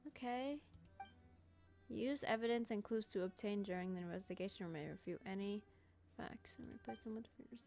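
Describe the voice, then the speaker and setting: A woman streamer gives casual commentary on a game she is playing, at a middling pitch. She is slangy and exclamatory, and faint game music plays behind her. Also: fairly quick, creaky, mellow. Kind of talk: casual gaming commentary, reading prompts aloud.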